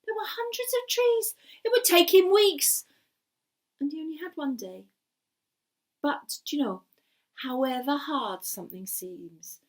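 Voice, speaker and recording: Animated woman storyteller, high-pitched. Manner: dramatic, repeats phrases across both languages. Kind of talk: bilingual Welsh and English storytelling for an audience.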